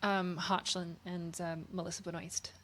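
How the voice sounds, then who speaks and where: A woman co-hosting a podcast in casual chat, excitable and swearing constantly. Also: on the low side, moderate pace. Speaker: podcast co-host.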